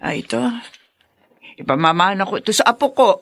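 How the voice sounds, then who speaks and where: Stubborn proud filipino lola in a radio drama, really animated, dry studio sound. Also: female voice, medium pitch, a little fast, no echo. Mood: wistful, stubborn. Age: older. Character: proud, protective elderly grandmother who built a business.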